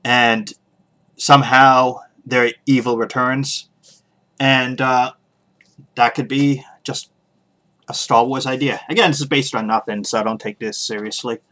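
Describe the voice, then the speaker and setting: A man hosting a podcast, giving casual solo opinions in a halting way, pausing often as he thinks aloud. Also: mid-range voice, steady tone, pushed and bright. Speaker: podcast host.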